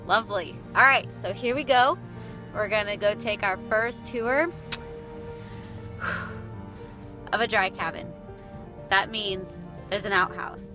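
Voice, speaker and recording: A woman's voice: medium pitch, slow, long pauses, music in the background. A woman vlogging in a casual, informal and wry way. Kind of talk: casual chat to camera.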